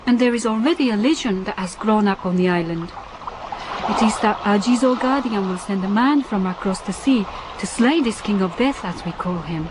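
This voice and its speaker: A woman's voice: medium pitch, animated, normal speed, background noise, lightly acted. An actress playing a young Japanese island diving woman in a radio drama, earnest and practical, with careful, formal phrasing. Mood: grave, earnest.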